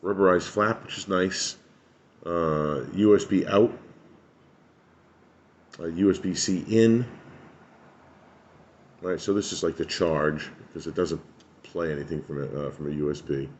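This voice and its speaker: Low-voiced man rambling through a product review. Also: measured pace, long pauses. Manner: rambling, thinking aloud. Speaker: radio reviewer. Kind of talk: casual hands-on product review.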